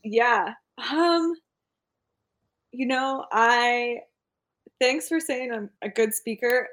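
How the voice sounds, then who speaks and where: A woman's voice: fairly high pitch, extremely slow, a bit bright. A woman guest in a casual podcast interview, talking with frequent laughs and a self-deprecating manner.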